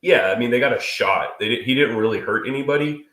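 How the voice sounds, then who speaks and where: A man's voice: mid-range voice, quick pace, fairly bright tone. A man in his thirties co-hosting a live stream in casual, crude, joking chat, stuffy and sniffly from a cold. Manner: crude, joking, swears freely. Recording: echoey room.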